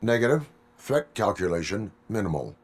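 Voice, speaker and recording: A man playing a stoic cyborg police officer in a video game, speaking in clipped, formal, robotic deadpan. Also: fairly low pitch, moderate pace, very noisy. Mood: stern, flat.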